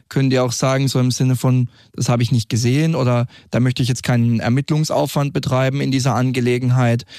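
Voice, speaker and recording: A man speaks as a police group leader being interviewed, explaining how things work. His voice is mid-pitched with a clear creak, captured dry in a studio. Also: darkish tone, dry recording.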